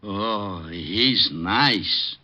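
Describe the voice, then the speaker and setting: Dopey hapless cop, slow drawl, swooping pitch, muffled hissy vintage radio. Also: male voice, animated. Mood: sheepish praise. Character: dim, slow-witted, hapless male police sergeant. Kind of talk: 1940s radio comic acting.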